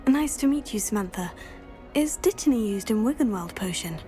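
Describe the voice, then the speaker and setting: A woman acting a composed teenage schoolgirl in a video game. Her voice sits fairly high, measured and courteous, with an inquisitive note. Soft music runs behind it. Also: animated, lightly acted. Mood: polite, curious. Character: composed, polite, curious teenage schoolgirl, new student.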